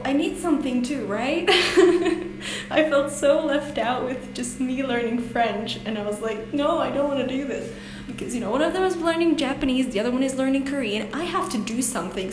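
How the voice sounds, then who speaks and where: A young Hungarian-speaking woman vlogs in a small tiled bathroom, her voice ringing off the walls. She rambles, restarts and giggles at herself, and a steady background hiss runs under her casual chat. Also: mid-range voice. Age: young adult. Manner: giggly, self-deprecating, rambling with frequent laughs. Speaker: language-learning vlogger. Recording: echoey bathroom with noisy background.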